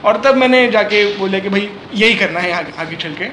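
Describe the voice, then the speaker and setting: A young Indian man with a high voice gives explanatory, conversational interview answers, switching into English phrases. The recording is echoey, with faint noise behind him. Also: moderate pace, pushed and bright. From Mumbai, India. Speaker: interview guest, filmmaker.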